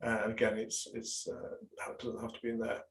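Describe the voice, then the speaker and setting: A man's voice: lowish voice, monotone, brisk. A man, a business coach, leads a live online workshop, talking with many fillers and restarts while losing his voice over a video call. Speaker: business and marketing coach leading a webinar.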